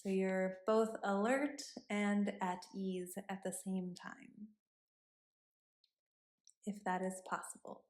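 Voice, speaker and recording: A woman yoga instructor leading a body scan meditation in a gentle, instructive manner with pauses between cues. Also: medium pitch, little pitch movement. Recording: roomy, echoing sound.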